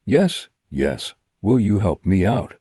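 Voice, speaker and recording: Husky, flustered old inventor. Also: male voice, low-pitched, big pitch swings, slow pace, mellow, no echo, studio quality, full character voice. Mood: desperate. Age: older. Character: old male inventor, flustered, kindly and frightened. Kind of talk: storybook audio drama acting.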